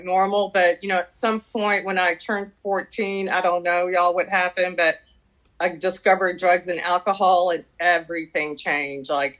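Middle-aged woman, French first language, lowish voice, confessional testimony, dull lo-fi recording. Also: a bit bright. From Canada. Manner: candid, confessional, reflective. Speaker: recovery group member sharing her story. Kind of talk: personal testimony at a support meeting.

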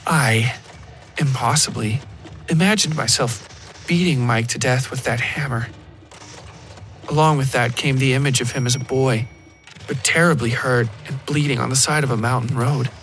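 A man giving a scripted first-person narration of dark horror fiction. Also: medium pitch, moderate pace. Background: music.

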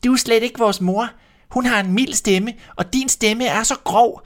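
A man voicing a group of small goat kids in a read-aloud fairy tale, shouting back in a shrill, defiant voice. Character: seven frightened little goat kids.

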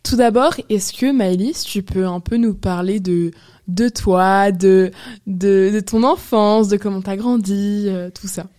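Mellow young woman hosting a casual podcast. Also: medium pitch, a little slow. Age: young adult. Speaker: podcast host. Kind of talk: casual conversational podcast interview.

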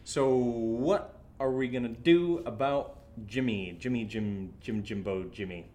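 A man acting in a horror audio drama as an excitable, sarcastic friend, with an animated, halting, rambling delivery. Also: mid-range voice, lightly acted. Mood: rambling, wry. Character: excitable, sarcastic, rattled male friend who drinks.

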